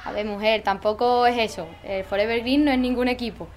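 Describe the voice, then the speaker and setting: Slightly high-voiced woman. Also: steady tone, fairly quick, faint music behind. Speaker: footballer guest in a sketch. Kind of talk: explaining in casual acted dialogue.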